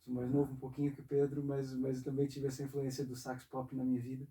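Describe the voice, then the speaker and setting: Mellow-voiced man in his forties, halting. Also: medium pitch, completely flat delivery, far from the mic. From northeastern Brazil. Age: 40s. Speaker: interview guest, saxophonist and composer. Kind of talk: casual reflective interview talk. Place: music studio.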